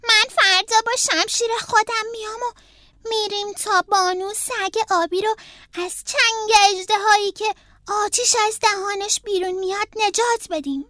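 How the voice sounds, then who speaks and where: Lady doing a little boy turtle from a storybook, eager n earnest, super high and bright. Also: no room sound, studio quality. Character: eager young male turtle child, earnest, a little grumbly.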